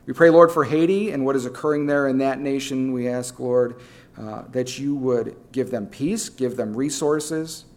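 A man's voice: mid-range voice, a little mellow. Man praying earnestly from the pulpit. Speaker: pastor. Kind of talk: congregational prayer and announcements.

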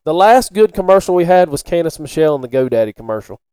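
A man's voice: on the high side, big pitch swings, fast, warm tone, clean tone, no echo. A middle-aged man, co-hosting a casual podcast about sports and local news. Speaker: podcast co-host.

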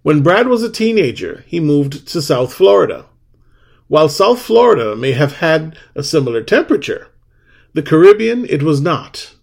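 A man's voice: a little high, normal speed, gravelly. A man hosting a podcast, reading a scripted introduction and then chatting casually with a guest, laughing easily. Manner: lively, animated delivery with laughs. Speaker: podcast host.

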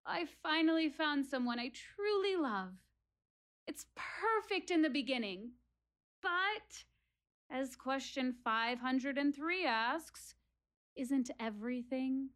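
A woman's voice: high voice, expressive, takes it slowly, a bit bright, full character voice. An actress playing an earnest, wry woman philosophizing to her theater audience in a dramatic one-woman monologue. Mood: wistful, wry. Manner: dramatic, rhetorical, addressing listeners directly.

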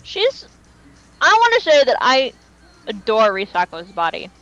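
A woman's voice: a little high, huge pitch swings, unhurried, a bit bright, muffled recording. A woman co-hosting a fan podcast, chatting casually in a slangy, emphatic, opinionated way. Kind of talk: casual chat.

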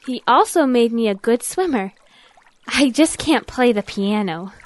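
A woman's voice: fairly high pitch, lively intonation, unhurried, dry recording. A woman voicing a friendly, sensible young female duck in an audio drama, patiently explaining. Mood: friendly, teasing.

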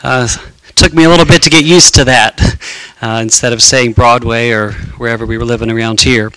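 A man's voice: medium pitch, fairly even intonation, brisk, sharp tone, smooth, poor audio. A man speaking informally to an audience as he leads and introduces a program. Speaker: choir director introducing a program. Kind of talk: informal spoken announcement to an audience.

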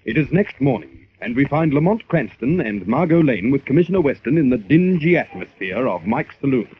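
A man's voice: medium pitch, big pitch swings, a little fast. A man announcing and narrating a radio thriller in a dramatic 1940s style. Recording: muffled, hissy old radio recording.

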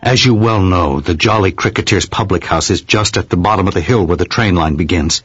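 A man's voice: sits low, brisk, fairly bright tone. A man playing a small-town witness who recounts uncanny events as dramatic, literary narration in an audio drama. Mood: grave, suspenseful. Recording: slightly dull audio with music behind. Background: music.

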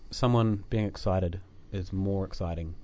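A man's voice: low voice, unhurried, mellow, dull audio. A man with a New Zealand accent hosting a podcast, chatting casually with many fillers and restarts. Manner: chatty, self-deprecating, lots of fillers and restarts. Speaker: podcast host. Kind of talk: casual chat. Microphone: desk microphone.

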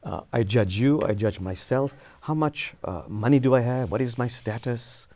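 A man's voice: mid-range voice, normal speed, darkish tone. A man on a discussion panel, speaking in an earnest, reflective way.

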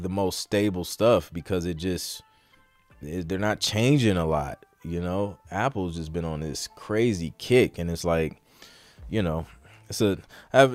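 A man with a fairly low voice hosts a casual Q&A livestream as a music producer. His delivery is informal and slangy, like easy conversation. The sound is close and dry, with faint music underneath. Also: dry recording. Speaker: podcast host and music producer.

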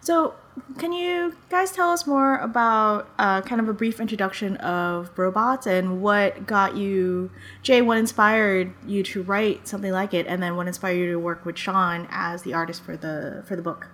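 A woman's voice: mid-range voice, fairly even intonation, normal speed, a bit bright. A woman born in the Philippines interviewing two comic creators, with frequent affirming murmurs. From Philippines, born in Quezon City. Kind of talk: interview questions.

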